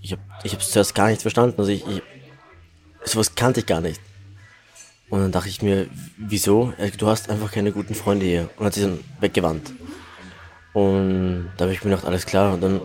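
A man's voice: sits low, fairly even intonation, normal speed, darkish tone. A man, candidly telling a personal story in an interview. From Salzburg, Austria. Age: 20s. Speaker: guest.

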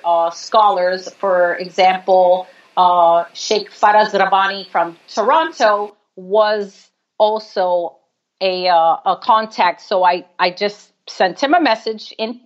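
Female interview guest from New York, earnest and explanatory, mid-pitched, somewhat dull recording. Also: slow. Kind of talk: conversational interview. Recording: slightly dull audio.

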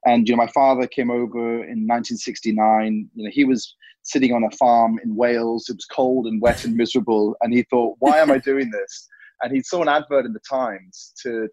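A man's voice: medium pitch, darkish tone, no room sound. A man in his thirties from Hong Kong, answering interview questions in a rambling way, full of "you know". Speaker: interview guest. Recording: dull lo-fi sound.

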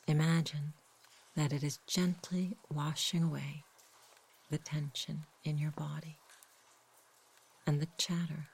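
A woman guiding a sleep meditation in a soft, whispery, husky voice, gentle and unhurried. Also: deep voice, level tone. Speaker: meditation guide. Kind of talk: guided sleep meditation, slow soothing narration.

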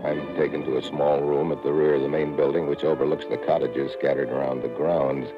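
An actor playing a tough, wry American secret agent in a 1950s radio drama, with a gruff, clipped delivery. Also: male voice, rumbling low, flat delivery, brisk. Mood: tense narrating. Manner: clipped, wisecracking. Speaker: lead actor and first-person narrator. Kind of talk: 1950s radio drama acting, hard-boiled narration. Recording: muffled old radio broadcast with music.

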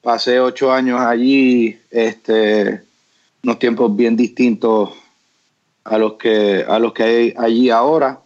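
A man's voice: medium pitch, fairly even intonation, takes it slowly, darkish tone, lo-fi sound. A man from Rico, a business guest answering in an interview, hesitant with many restarts and fillers. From Puerto Rico.

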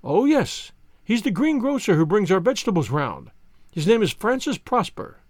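A man voicing an anxious young gentlewoman who pleads earnestly in polite period English for an audiobook. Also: high voice, sing-song, moderate pace, no echo, clean studio sound, pitched above the performer's own voice. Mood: eager, surprised.

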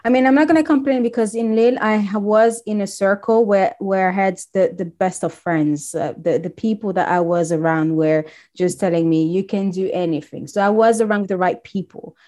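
A woman in her thirties, originally from Congo, talking casually as an interview guest, telling her story warmly with frequent restarts. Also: medium pitch, normal speed, hardly any pauses.

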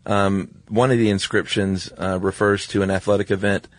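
A man's voice: fairly low pitch, flat delivery, moderate pace, dry recording. A man co-hosting a podcast in an informal, easygoing, conversational style. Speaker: podcast co-host. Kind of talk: casual conversational podcast chat.

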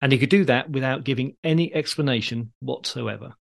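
A man's voice: medium pitch, a little fast, creaky. Male podcast host, talking listeners through history in conversation.

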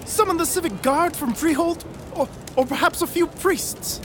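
An actor playing a frightened but defiant townsperson in an audio drama, urgent and superstitious. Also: male voice, very high-pitched, moderate pace, noisy background. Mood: alarmed.

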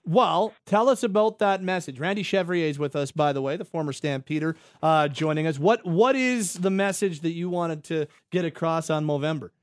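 A man's voice: on the high side, moderate pace, few pauses, dry recording, clean studio sound. A male radio host chatting easily, with hesitant starts and restarts. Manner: easygoing, hesitant, stumbling restarts. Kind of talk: casual radio chat.

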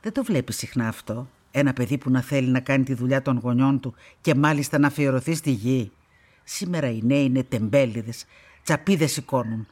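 A woman's voice: extremely low pitch, darkish tone. An older woman playing a stern, proud grandmother in a radio play, speaking in an emphatic, brusque and opinionated way. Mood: scornful. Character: stern, proud old grandmother who dotes on her granddaughter.

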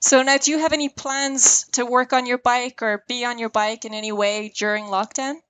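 A woman interviewer with a fairly high voice asks questions and answers with short, encouraging remarks. Her sound is dry and close. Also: level tone, normal speed, a bit bright, no echo, poor audio.

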